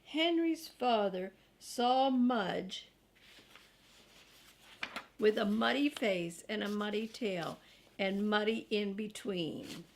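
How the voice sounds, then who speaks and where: An older woman reading a children's storybook aloud in an expressive, grandmotherly way, drawing out key words and adding chatty asides for young listeners. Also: mid-range voice, slow, long pauses, a little hiss or hum.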